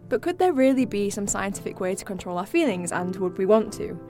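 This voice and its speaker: A woman presenter reads a scripted introduction in a smooth, measured way. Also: medium pitch, sing-song, darkish tone. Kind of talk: scripted narration. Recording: narration over clear music. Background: music.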